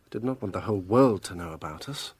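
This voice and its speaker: An actor playing a proud, defensive, well-bred young gentleman in a radio drama. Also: low-pitched, lively intonation, fast, slightly rough.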